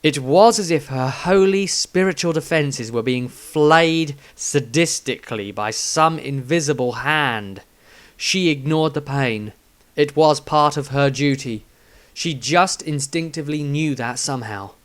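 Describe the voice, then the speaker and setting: A man reading a fantasy story as a dramatic audiobook narrator, with theatrical, deliberate emphasis. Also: on the high side.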